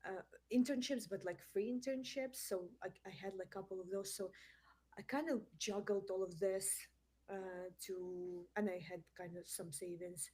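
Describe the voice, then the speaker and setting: Soft breathy woman, hesitant speech. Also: on the low side, low-quality recording. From Ukraine. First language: not English. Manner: hesitant, many fillers and restarts. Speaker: guest. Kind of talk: casual interview answers.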